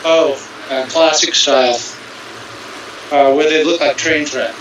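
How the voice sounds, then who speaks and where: A man's voice: a little high, steady tone, a little slow, fairly bright tone, heavy reverb, distant mic, a little hiss or hum, rough recording. A man in his sixties from California, chatting casually about cycling and skiing with dry, self-mocking humor. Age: 60s. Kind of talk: casual chat.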